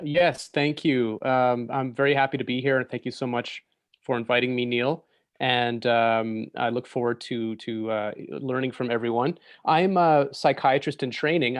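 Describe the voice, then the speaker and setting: Smooth-voiced young Canadian man. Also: mid-range voice, dry recording. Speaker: psychiatry resident. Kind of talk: panel introduction.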